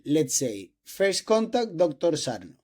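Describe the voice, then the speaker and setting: Spanish-accented young man, higher voice. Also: big pitch swings, a little mellow. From Spain. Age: 20s. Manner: halting non-native English with restarts and throat clearing. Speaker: host interviewing a doctor. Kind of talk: casual interview talk.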